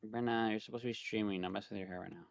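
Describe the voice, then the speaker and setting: A man's voice: fairly low pitch, fairly even intonation, very fast, gravelly. A man co-streaming a visual novel, reading narration and chatting casually. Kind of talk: game narration and casual chat.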